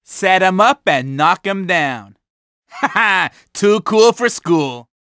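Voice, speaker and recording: A vain young tuner car from a racing video game, full of slangy swagger. The voice is bright and forceful, swooping widely in pitch, with an unhurried delivery and a dry, close sound. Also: male voice, expressive, dry recording, full character voice. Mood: smug, boastful. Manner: slangy, boastful, exclamatory. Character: cocky young male street-racer tuner car, vain show-off. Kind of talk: video game character barks.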